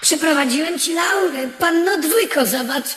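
A woman's voice: high voice, moderate pace, fairly bright tone. A woman playing a formal, courteous guide through an enchanted castle in a storybook radio play. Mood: courteous, brisk. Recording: echoey hall with long reverb.

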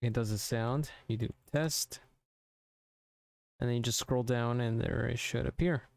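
A man narrating a software tutorial informally, thinking aloud step by step into a close mic. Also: medium pitch, steady tone, unhurried, creaky, no echo. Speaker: tutorial presenter.

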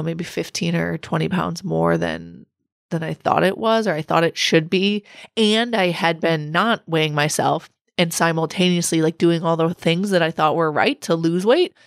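A woman in her thirties hosting a solo podcast, her voice a little raspy from a cold, talking in an earnest, reflective coaching way. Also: fairly low pitch, dry recording, studio quality. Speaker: podcast host.

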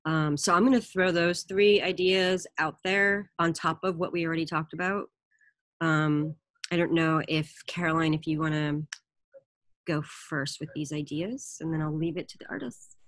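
Woman moderator on a video call, laid-back, lowish voice, dry. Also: fairly even intonation, moderate pace, fairly bright tone, no echo. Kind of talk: moderating a panel discussion.

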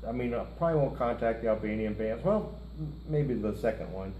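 A man's voice: mid-range voice, animated, fairly quick, dull audio. A middle-aged man co-hosting a music reaction show, chatting casually in a rambling way with joking asides. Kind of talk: casual chat. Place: indoor room, seated at a table before a lit curtain. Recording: roomy room with faint hiss.

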